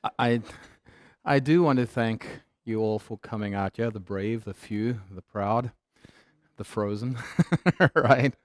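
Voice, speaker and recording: A man's voice: on the low side, takes it slowly, no room sound. Man, mixed south african accent, keynote speaker, humorous conversational, dry close sound. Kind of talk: business talk.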